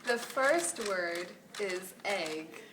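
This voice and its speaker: Woman as earnest puppet participant running a shock test. Measured, slow amateur delivery, noisy recording. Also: medium pitch, expressive, distant mic. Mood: polite, apologetic. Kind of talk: amateur puppet show acting. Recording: clear background noise.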